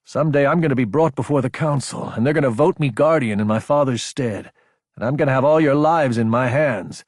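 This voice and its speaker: Fierce young officer, acted by a male narrator, crisp delivery. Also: medium pitch, fairly quick, darkish tone, no room sound, studio quality. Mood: frustrated, helpless. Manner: intense, emphatic, insubordinate. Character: young male military officer, fierce, anguished, self-doubting. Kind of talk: audiobook character acting.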